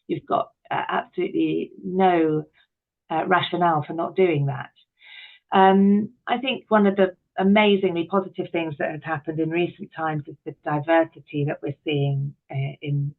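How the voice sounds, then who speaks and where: A middle-aged woman speaking as an interview guest, reflective, articulate and emphatic, on muffled call audio. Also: sits low.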